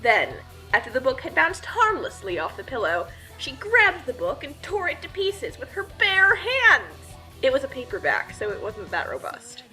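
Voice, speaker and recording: A woman narrates a comic adventure story with mock-epic flair. Her voice is high and very animated, with a bright edge, and music plays behind. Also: normal speed, poor audio. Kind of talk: animated comic reading of a scripted fan fiction story.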